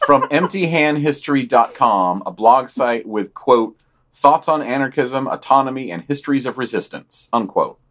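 A man co-hosting a podcast, reading long quoted passages from news items. Between the quotes he gives flat, deadpan and critical remarks. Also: mid-range voice. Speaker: podcast co-host.

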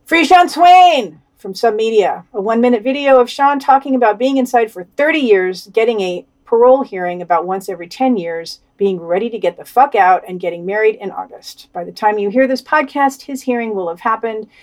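A woman's voice: on the high side, animated, brisk, hardly any pauses, darkish tone, far from the mic. A woman reading scripted podcast commentary in an informal, opinionated manner. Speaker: podcast reader.

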